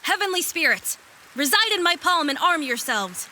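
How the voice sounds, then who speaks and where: A woman's voice: extremely high pitch, sing-song, normal speed, bright, forceful tone, a little hiss or hum, full character voice. A woman playing a sarcastic, plucky young fighter with a wry, feisty manner in a fantasy audio drama. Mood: defiant.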